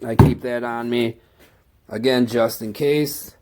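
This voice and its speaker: A man with a head cold talks through his work kit at an unhurried pace, often pausing mid-thought. His stuffed-up voice sits at an ordinary pitch, and he gets eager when a favorite piece of gear comes up. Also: fairly even intonation, heavy background noise. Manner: slow, halting, enthusiastic about gear. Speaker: maintenance tech showing his tools. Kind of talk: casual tool walkthrough.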